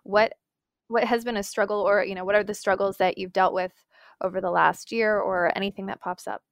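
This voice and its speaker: A woman's voice: medium pitch, fairly even intonation, fairly quick, no echo, studio quality. A woman co-hosting a podcast who asks an interview question in a conversational, slightly hesitant way. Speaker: podcast co-host.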